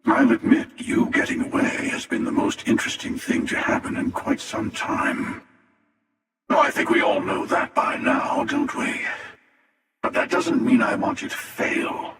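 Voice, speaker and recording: Game villain: older male cosmic hunter, slow gravelly rasp, smugly sardonic and menacing, drenched in reverb. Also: sits high, huge pitch swings, a bit bright, full character voice. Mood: wry, superior. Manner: sardonic, measured, taunting. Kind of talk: video game character dialogue. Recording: echoing long reverb, distant sound.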